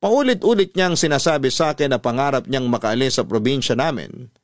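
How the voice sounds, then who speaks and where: Male actor as sincere Filipino letter writer: mid-pitched, measured first-person narration, close dry studio sound. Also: expressive, no room sound, close to an everyday voice. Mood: earnest. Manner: earnest, measured, confiding. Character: earnest man recounting his family story by letter. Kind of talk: scripted first-person radio drama narration.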